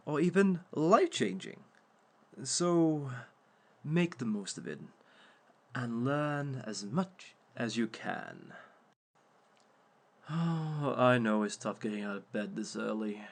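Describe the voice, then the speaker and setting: A man's voice: medium pitch, gravelly, heavily acted. A man playing a smug male villain who hosts a dating workshop, speaking in a dry, teasing way to an unseen audience. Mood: welcoming. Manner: dry, teasing, unhurried, talks to unseen listeners. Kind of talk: audio roleplay monologue.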